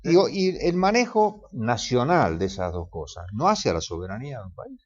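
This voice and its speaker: A man's voice: mid-range voice, big pitch swings, normal speed, lo-fi sound, a crowd in the background. A man, interviewing a guest and adding his own points to the discussion. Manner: probing, adds points to the guest's answers. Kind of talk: interview questions.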